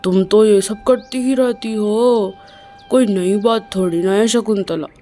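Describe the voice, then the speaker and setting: A woman voicing a calm, sensible older husband who gently scolds his wife, in a storybook tale. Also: mid-range voice, a little slow, faint music behind, natural voice. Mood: amused.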